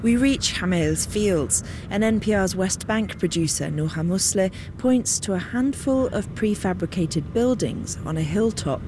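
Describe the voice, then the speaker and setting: A woman's voice: mid-range voice, animated, a little slow, hardly any pauses, noisy background. A woman radio reporter delivering a scripted field report.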